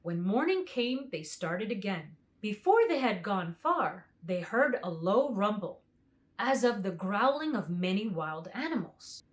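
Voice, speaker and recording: A woman reading a children's book aloud as a storyteller, with expressive narration. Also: mid-range voice, unhurried.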